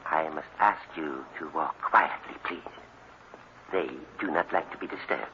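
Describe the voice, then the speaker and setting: Deep, eerie old-radio night watchman. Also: lo-fi sound, heavily acted. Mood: eerie, solemn. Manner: slow, hushed, deliberate, creepy. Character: eccentric, eerie male night watchman of a museum. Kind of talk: 1940s radio acting. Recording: noisy, band-limited old radio recording.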